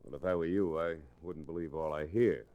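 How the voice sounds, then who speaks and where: Deep-voiced, sly frontier villain. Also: male voice, a little fast, phone-quality sound. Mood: suspicious, guarded. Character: wary, sly frontier merchant villain, suspicious and smug. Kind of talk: old-time radio Western acting. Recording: restored vintage radio recording.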